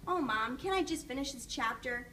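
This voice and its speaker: A woman's voice: high voice, animated, normal speed, bright, forceful tone. A woman voicing a reluctant, earnest, somewhat whiny schoolboy in a storybook read aloud, recorded with noise at a distance from the mic. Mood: absorbed.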